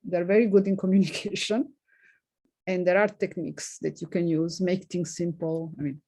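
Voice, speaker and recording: A middle-aged woman physicist with a non-native English accent, answering questions in a halting way full of fillers and light laughs. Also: fairly low pitch, flat delivery, warm tone, husky. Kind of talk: informal seminar Q&A.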